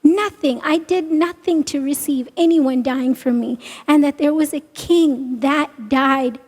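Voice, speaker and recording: A young woman from India, with a small soft voice, giving a halting, emotional church testimony in a large auditorium. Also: sits high. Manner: halting, emotional, many restarts. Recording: long reverb of a large hall.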